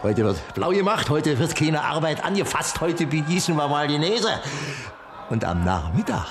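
A brash Berlin party bully in a radio play. He is boozy and jubilant, swinging widely in pitch from chummy talk to barking orders. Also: very animated, moderate pace. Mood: boastful, bullying. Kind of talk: German radio drama acting.

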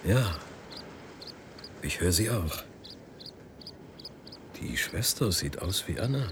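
A man acting an unsettled, condescending professor in a German radio drama, speaking haltingly and deliberately with a husky voice. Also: deep voice, animated, background noise. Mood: dazed. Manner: halting, deliberate, stammers when agitated. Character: unsettled, condescending professor of physics, slipping into confusion. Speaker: radio play actor.

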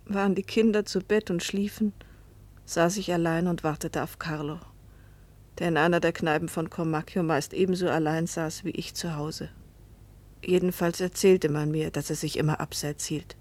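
An actress in a German radio play voicing a lonely, fearful, resigned wife, breathy and often hushed. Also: female voice, lowish voice, fairly even intonation, moderate pace, lightly acted. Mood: melancholy, reflective. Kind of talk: German radio play acting with first-person narration.

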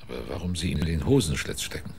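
An actor playing a weary, terse detective in a German radio drama, dry and matter-of-fact in delivery. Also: male voice, a bass voice, lively intonation, fast talker, gravelly, a little mellow, a little hiss or hum, full character voice. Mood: puzzled. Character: weary, terse male detective wanting his fee.

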